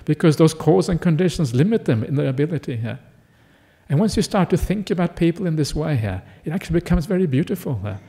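A middle-aged man born in Norway, a Buddhist monk giving a relaxed, conversational Dharma talk full of "uh" fillers and "yeah?" checks. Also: a little high.

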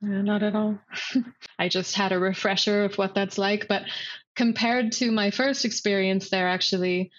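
A woman's voice: mid-range voice, fairly even intonation, moderate pace. Chatty woman on a dull line. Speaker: guest. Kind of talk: casual interview talk.

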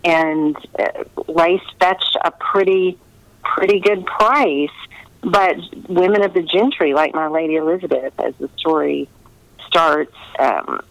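A middle-aged woman giving earnest, conversational interview answers, pausing often to find her words over a remote line. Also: deep voice, creaky, no echo, poor audio. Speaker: interviewed historical novelist.

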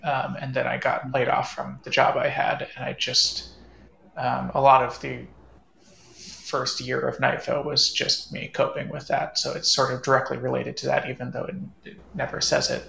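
A man talking casually as a writer on a panel, halting and matter-of-fact, in a roomy, slightly noisy recording. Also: medium pitch, monotone, vocal fry, pushed and bright. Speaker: panel guest, audio drama writer. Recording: roomy, faint background noise.

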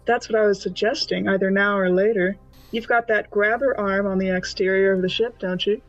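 A woman's voice: mid-range voice, fairly even intonation, normal speed, no room sound. A woman playing a tabletop role-playing game, chatting casually and playfully with the group. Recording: dull lo-fi sound, music behind.

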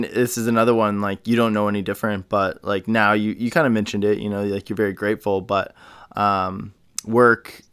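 A man's voice: fairly low pitch, clear, resonant tone, no room sound, studio quality. A man hosting a podcast, asking rambling questions with many fillers. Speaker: podcast host. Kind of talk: casual interview chat.

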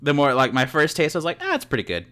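A man's voice: a little high, wildly swooping pitch, very fast, bright, forceful tone. A man co-hosting a casual podcast, playful and joking, tripping over words. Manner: playful, laughs often, stumbles on words. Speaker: podcast co-host. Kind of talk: casual chat.

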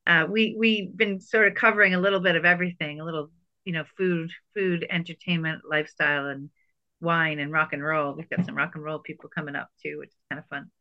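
A woman's voice: low-pitched, steady tone, a little fast, highs cut off. A woman from Quebec hosting a podcast, asking questions casually and affirming her guest with short replies. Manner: warm, affirming listener with short interjections. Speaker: podcast host. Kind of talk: casual interview.

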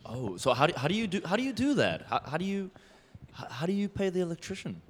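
A man's voice: on the high side, sing-song, fast. A man playing a creepy, sleazy, flirtatious serial killer in improvised comedy. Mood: flustered flirting. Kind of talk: improvised comedy parody of radio play.

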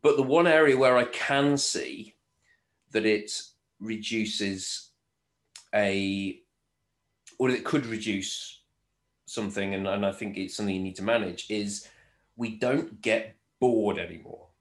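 A man's voice: fairly low pitch, unhurried, long pauses, roomy sound. A male host in a casual interview, asking questions and thinking aloud with hesitant, restarting phrasing. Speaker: podcast host.

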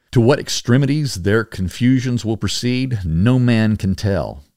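Man reading formally in a lively, swooping cadence. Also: sits low, no echo, studio quality. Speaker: podcast host reading historical letters aloud. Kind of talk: formal scripted reading of 18th-century letters.

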